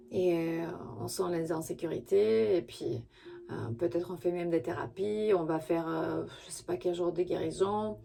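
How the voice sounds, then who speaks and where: A woman who is not a native French speaker gives an intuitive tarot reading straight to the listener, halting as she searches for words. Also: on the low side, vocal fry, a little mellow. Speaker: spiritual tarot reader and channel host.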